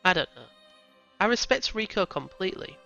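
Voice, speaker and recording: A man's voice: high voice, very animated, moderate pace, creaky, bright, forceful tone, faint music behind, heavily acted. A man playing a bold young lieutenant who is earnest and persuasive, on a dull lo-fi recording. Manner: earnest, rousing speech-giving.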